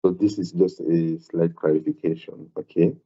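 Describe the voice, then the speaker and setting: A man with a very low voice and a non-native accent lectures an online maths class. He talks hesitantly, repeating words and filling pauses as he goes. The sound is dull and muffled, like a laptop mic on a video call. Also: fairly even intonation, mellow, breathy edge. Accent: non-South African, foreign to English. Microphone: computer mic on a video call.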